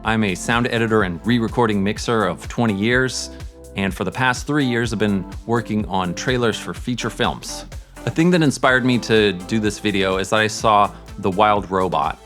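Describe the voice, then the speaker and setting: A man's voice: on the low side, moderate pace, a bit bright, soft background music. A middle-aged man hosting a casual audio tutorial, explaining things as a sound mixer would. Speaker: host, sound editor and mixer.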